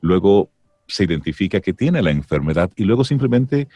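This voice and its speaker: A male radio host with a very low, husky voice speaks emphatically. His pitch moves a lot, and the sound is dry and close. He talks with expressive emphasis. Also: moderate pace, no echo. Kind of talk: radio interview hosting.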